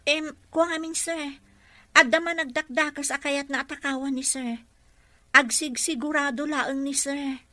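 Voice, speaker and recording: An actress with an Ilocano accent playing a polite, hesitant nurse and assistant in a radio drama. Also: female voice, high voice, steady tone, normal speed, bright, forceful tone, dry recording. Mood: wary, polite. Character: deferential, hesitant young female nurse and assistant.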